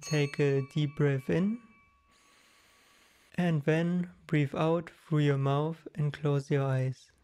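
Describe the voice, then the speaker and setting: A man leading a guided meditation, giving calm, measured instructions in short phrases. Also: a little high, clear, resonant tone.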